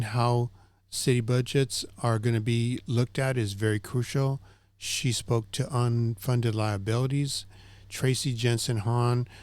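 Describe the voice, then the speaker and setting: A man's voice: mid-range voice, darkish tone, no room sound. A man hosting a community recap, speaking from notes in a semi-scripted way and stumbling now and then over names and words. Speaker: host of a community recap show.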